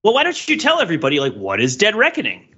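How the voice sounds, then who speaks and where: A man with a fairly high-set, very bright voice talks through a film as a podcast host. He explains in a casual way, often pausing to check that the point lands. The sound is a little lo-fi and dull. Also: wildly swooping pitch, slightly rough. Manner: explanatory, frequent rhetorical check-ins.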